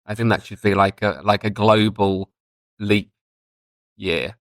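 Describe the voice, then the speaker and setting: A man in his thirties chatting casually as a podcast co-host, joking in a deadpan way. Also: fairly low pitch, measured pace, dry recording. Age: 30s. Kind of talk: casual bantering podcast chat. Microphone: online call.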